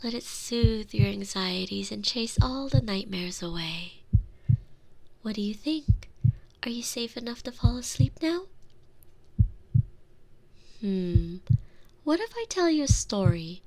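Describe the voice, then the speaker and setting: A woman playing a tender, protective girlfriend in an intimate ASMR roleplay, speaking soothingly and softly into a close binaural microphone with lingering phrases. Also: medium pitch, lively intonation, low-quality recording. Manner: soothing, breathy near-whispers, lingering drawn-out phrases. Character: tender, protective girlfriend soothing her frightened lover to sleep.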